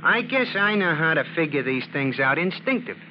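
A comic, uneducated police sergeant from old radio drama. He is pleased with himself and speaks in slangy, broken grammar, in a fairly high voice. The recording is dull and faintly noisy. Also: sing-song, moderate pace. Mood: cocky. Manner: slangy, ungrammatical, self-satisfied. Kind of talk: 1940s radio detective drama acting.

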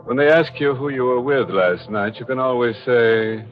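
A man's voice: mid-range voice, moderate pace, soft background music. A man hosting a 1940s radio horror show in a macabre, tongue-in-cheek manner, on a dull old recording. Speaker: host.

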